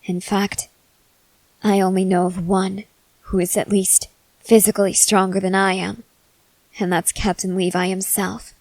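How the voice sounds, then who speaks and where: Girl voice acting a stoic young soldier woman, asmr roleplay, flat serious delivery, careful pauses, really dry audio. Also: medium pitch, no room sound, lightly acted. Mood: firm. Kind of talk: ASMR roleplay, acted scene to a listener.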